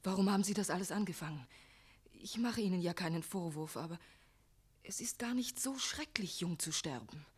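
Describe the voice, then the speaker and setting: An actress in a radio play playing a gentle young maiden, soft and sadly calm, resigned to her fate. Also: on the low side, moderate pace, lightly acted. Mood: resigned sadness. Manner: soft, breathy, sad calm. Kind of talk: German radio play acting.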